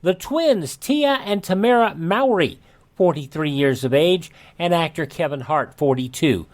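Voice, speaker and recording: A man's voice: a little high, expressive, measured pace. A man hosting a radio show, reading items and announcements in a presenter's style. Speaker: radio host.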